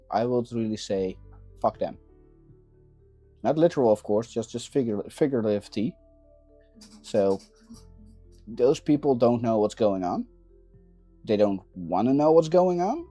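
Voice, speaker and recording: A man chatting casually while working on a build, thinking aloud in a halting way and repeating words. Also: mid-range voice, warm tone, dry recording. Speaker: maker livestreaming a 3D printing build.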